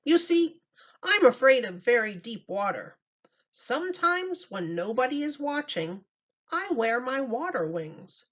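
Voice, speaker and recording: Woman putting on a slow quacking storybook duck. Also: high voice, big pitch swings, a bit bright, pitched above the performer's own voice, full character voice. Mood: helpful, confiding. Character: duck afraid of deep water, helpful, confiding. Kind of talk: children's storybook read-aloud. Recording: dull lo-fi recording.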